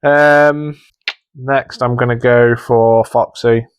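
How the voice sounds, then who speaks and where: A man's voice: mid-range voice, fairly even intonation, takes it slowly, smooth, no room sound. A man co-hosting a podcast as the judge, thinking aloud hesitantly in casual banter. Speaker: podcast host and judge scoring contestants.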